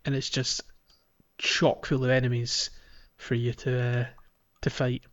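A man's voice: mid-range voice, level tone, measured pace, a bit bright. A man hosting a casual gaming podcast, talking in a rambling, explanatory way. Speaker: podcast host. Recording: dull lo-fi sound.

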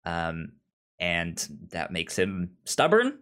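Man, fairly low pitch, casual podcast co-host, conversational, stammering restarts, repeated words. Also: huge pitch swings, slow pace, fairly bright tone, smooth. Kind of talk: casual comic book discussion.

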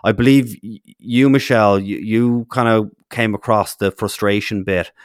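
A man's voice: fairly low pitch, fairly even intonation, moderate pace, no echo, studio quality. A man hosting a podcast interview, asking long, loosely phrased questions. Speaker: podcast host. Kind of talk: interview host and ad read.

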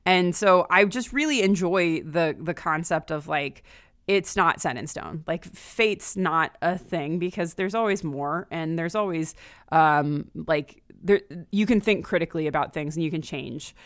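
A woman's voice: lowish voice, a little slow, no echo, studio quality. A woman in a casual, thoughtful discussion, often saying "like" as she works through her points. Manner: frequent filler "like", thoughtful.

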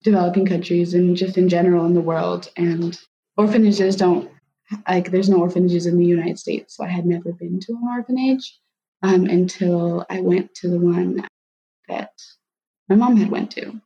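Young woman guest, low, mellow, hesitant, muffled call audio. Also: fairly even intonation, moderate pace. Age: young adult. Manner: soft-spoken, hesitant, many likes. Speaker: guest, daughter of an adoptee. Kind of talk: reflective conversation.

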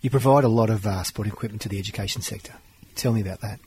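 A man hosting a business interview. He talks quickly with a lowish voice and asks upbeat, friendly questions, with faint background noise. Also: warm tone. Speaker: interviewer host.

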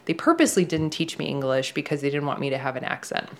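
A fairly low-voiced woman, a therapist whose first language was Polish, chatting in a relaxed podcast conversation. She tells her stories with energy, slipping into the voices of the people she quotes and laughing often. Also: quick pace. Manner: animated storyteller who acts out quoted speech and laughs often.

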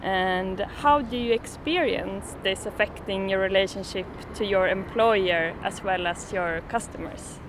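A woman of middling pitch who asks her questions in a measured, prepared way. Clear traffic noise from the street sits behind her voice. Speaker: interviewer. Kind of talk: interview questions.

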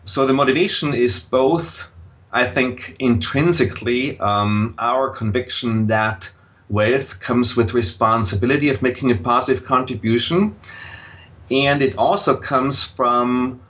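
An older man from Austria speaks in English with a light Austrian German accent, at a middle pitch. He answers in a measured, thoughtful way, pausing on fillers. The recording sounds a little muffled and lo-fi. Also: a bit bright. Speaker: guest, impact investor. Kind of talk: interview answers. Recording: slightly dull lo-fi audio.

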